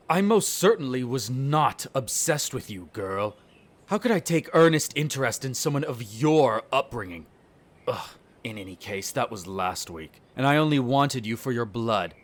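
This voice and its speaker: A man's voice: medium pitch, close to an everyday voice. A man playing an irritable aristocratic vampire in a roleplay audio drama, speaking formally with sneering condescension toward humans. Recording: nature ambience with birdsong behind. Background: birdsong and garden ambience.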